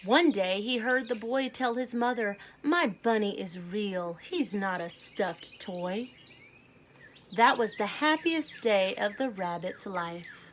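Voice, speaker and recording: Slow-paced woman reading to children. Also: mid-range voice, a little hiss or hum. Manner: deliberate, simple phrasing addressed to young children. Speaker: children's read-aloud host. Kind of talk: storybook read-aloud to children.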